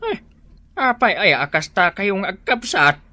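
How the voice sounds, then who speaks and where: A man's voice: sits high, big pitch swings, unhurried, bright, forceful tone, exaggerated voice. A man playing a fretful but fond older father in an Ilocano comedy radio drama, with an Ilocano accent. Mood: scolding.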